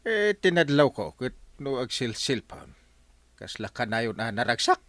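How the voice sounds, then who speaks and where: An actor playing an unbothered village captain and husband in a radio comedy drama. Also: male voice, mid-range voice, sing-song, normal speed, fairly bright tone, dry recording. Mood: puzzled.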